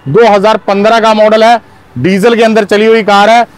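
High-voiced middle-aged man pitching cars. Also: level tone, moderate pace. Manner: punchy, repeats "my brothers" often. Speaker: used car dealer. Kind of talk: energetic sales pitch. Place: outdoor used car lot. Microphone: lapel mic.

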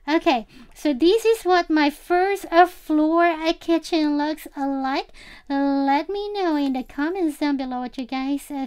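A woman's voice: high-pitched, darkish tone. A woman giving a casual, chatty game tour as a channel host, speaking directly to viewers.

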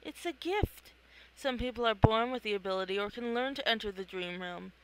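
A performer voicing a calm, playful woman who wanders dreams in a comic read-along. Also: medium pitch, brisk, clear, resonant tone, lightly acted, rough recording. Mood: soothing.

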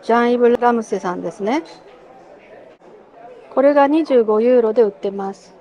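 A woman's voice: on the high side, normal speed, dark tone. A woman giving casual commentary to viewers while she films her walk through a bonsai expo. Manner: chatty, talks to viewers while filming. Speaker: vlogger touring a bonsai expo. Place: bonsai pavilion and garden tents, partly outdoors. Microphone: handheld camera, speaker off screen.

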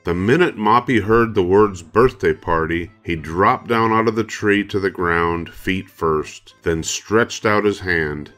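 A man's voice: sits low, measured pace, few pauses, a bit bright, faint music behind. An older man narrating a children's story from a script. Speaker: storybook reader.

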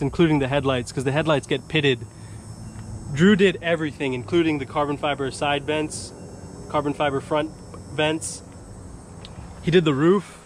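A higher-pitched man chats eagerly to a handheld action camera outside, walking viewers through each detail of his car. There's a faint hum of outdoor noise behind him, and his delivery is casual and upbeat. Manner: enthusiastic, chatty, shows off car details. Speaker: car vlogger. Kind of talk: casual vlog narration. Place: outdoors at a shop lot and a mountain overlook. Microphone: action camera held or mounted.

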